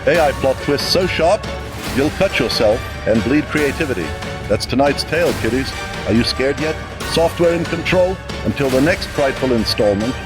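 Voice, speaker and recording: A man's voice: medium pitch, expressive, normal speed, music in the background, lightly acted. A man voicing a gleefully macabre artificial horror host in a cartoon, theatrical and teasing.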